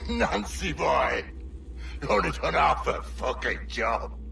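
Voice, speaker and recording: A bloodthirsty marooned pirate for a video game. His voice is high and full of gravelly fry, drawn out slowly as he rants and taunts in rough, working-class speech. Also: sing-song, bright, forceful tone, exaggerated voice. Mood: gleeful taunting. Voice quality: gravelly, creaky. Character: crazed, vengeful male pirate castaway, cackling and bloodthirsty. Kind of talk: video game cutscene acting.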